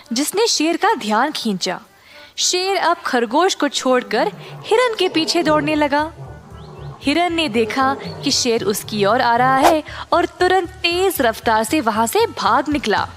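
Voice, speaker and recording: A woman storyteller reads a scripted children's tale. Her voice is high and her pace is slow, with soft music underneath.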